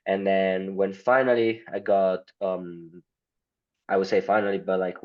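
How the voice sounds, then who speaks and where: Italian guy, deep voice, non-native English, slightly muffled sound; halting, filler-heavy answers. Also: a little mellow. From Italy. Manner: hesitant, many fillers, non-native grammar. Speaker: guest. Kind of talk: casual interview answers.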